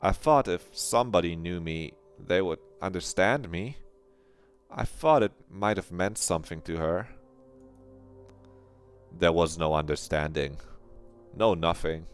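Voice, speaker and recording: A man's voice: low voice, smooth. A man reading a visual novel aloud as a streamer, narrating earnestly and reflectively.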